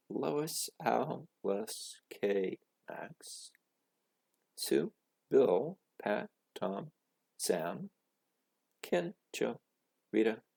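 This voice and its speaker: A man reading a children's story expressively, with a dramatic, deliberate delivery full of pauses. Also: on the low side, creaky, a little mellow, slightly rough. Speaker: storybook reader.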